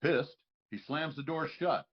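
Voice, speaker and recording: A man with a husky voice reading stage directions as scripted narration at a live table read. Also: fairly high pitch, a little slow, dull audio.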